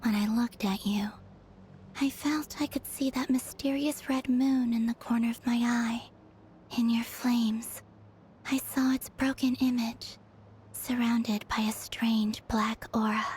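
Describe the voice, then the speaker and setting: A woman playing a gentle, mysterious young maiden in a video game, calm and deliberate, with a soft breathy voice. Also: fairly high pitch, a little hiss or hum. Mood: hushed, reflective. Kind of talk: dramatic video game voice acting.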